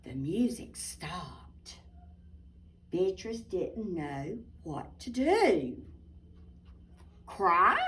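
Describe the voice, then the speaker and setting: A woman reading a children's picture book aloud expressively, with dramatic pauses and asides to her listeners. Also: a little high. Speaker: storybook reader. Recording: voice distant from the mic.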